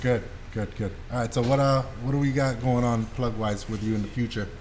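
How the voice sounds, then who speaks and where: A man's voice: mid-range voice, steady tone, a little fast, darkish tone, a little hiss or hum. A man hosting a podcast, chatting casually and asking questions in an informal, slangy way. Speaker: podcast host. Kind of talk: casual chat, interviewing.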